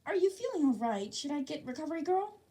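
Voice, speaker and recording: A woman's voice: sits high, big pitch swings, quick pace, mellow, pitched above the performer's own voice. A woman voicing a cheerful, sly teenage boy hero student who beams with feigned innocence.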